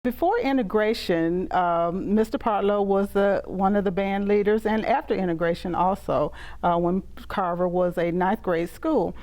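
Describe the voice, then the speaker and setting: A woman's voice: medium pitch, normal speed, a little mellow. A middle-aged woman with a Southern US accent, reciting and introducing her own poetry. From Mississippi, United States. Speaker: poet and author reading own poems.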